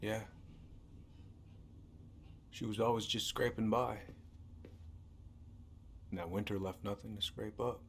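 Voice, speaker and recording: Low-voiced gruff American uncle. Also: male voice, fairly even intonation, slow, long pauses. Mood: weary, regretful. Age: middle-aged. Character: gruff, weary middle-aged uncle and small-town lawman. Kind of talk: naturalistic video game drama acting. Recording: noisy game capture with hum.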